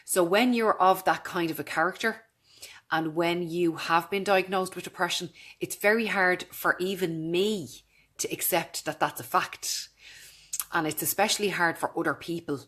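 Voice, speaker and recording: A woman in her thirties with a naturally low voice, talking earnestly and directly to her camera in a vlog. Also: unhurried, fairly bright tone. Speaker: vlogger. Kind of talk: casual talk to camera.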